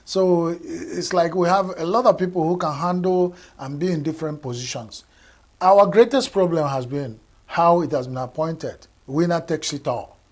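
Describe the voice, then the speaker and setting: Measured Nigerian man, political commentary. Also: a little high. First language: Igbo. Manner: measured, halting, many fillers and restarts.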